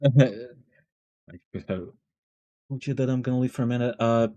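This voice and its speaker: A man chatting casually to viewers as a live streamer, halting and thinking aloud as he goes. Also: medium pitch, mellow, muffled recording. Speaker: live streamer reading chat.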